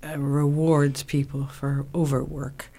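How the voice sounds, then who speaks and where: A woman of sixty-eight explaining her work as an interview guest, in a measured, halting, thoughtful way. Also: rumbling low, flat delivery, dark tone. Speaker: interview guest, co-founder of a women's program.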